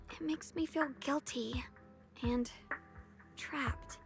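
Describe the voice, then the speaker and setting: A woman voicing a gentle but determined young swordswoman in an anime game, with a soft, youthful, sincere delivery. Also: high voice, slow, creaky, soft background music, heavily acted. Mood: tender, sincere.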